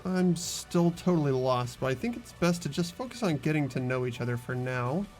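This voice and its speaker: A man's voice: fairly high pitch, normal speed, a little mellow, lightly acted. A man voicing a bewildered, earnest teenage boy student in a game, hesitant and puzzled, with music behind. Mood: unsure.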